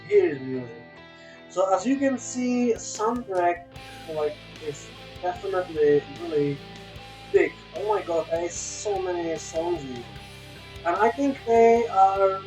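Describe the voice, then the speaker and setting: Slow, high-voiced man, reviewer. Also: sing-song. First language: a language other than English. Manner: halting and repetitive, searching for words. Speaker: video game reviewer. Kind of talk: casual unscripted review commentary. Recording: roomy echo, music playing behind. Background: soft guitar game music.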